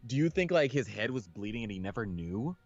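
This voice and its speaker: Guy reading a visual novel out loud, lowish voice, dry kinda muffled mic. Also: sing-song, a little fast, dry recording. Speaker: co-host playing and reading a visual novel aloud. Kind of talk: reading game text aloud with casual reactions.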